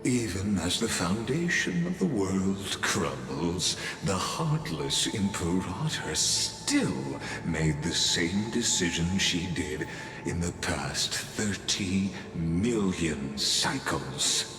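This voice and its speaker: A taunting, theatrical villain from a video game, narrating doom with pompous relish. The voice is low and extremely unhurried, ringing in an echoey hall over music. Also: male voice, very animated, exaggerated voice. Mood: grim irony. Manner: pompous, mocking, drawn-out delivery. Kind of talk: dramatic scripted game monologue.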